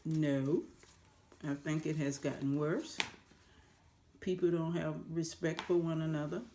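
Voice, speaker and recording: A woman answering interview questions in plain, brief, measured replies. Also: low-pitched, level tone, background noise.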